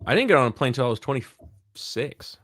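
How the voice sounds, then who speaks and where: A man co-hosting a casual podcast with quick, joking interjections. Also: medium pitch, big pitch swings, a bit bright, no room sound, clean studio sound. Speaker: co-host.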